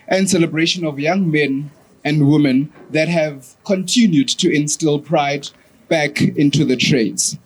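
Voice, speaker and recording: Husky South African-born male emcee. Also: on the high side, poor audio. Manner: polished, formal emcee delivery. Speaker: program director at an awards ceremony. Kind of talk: formal ceremony hosting.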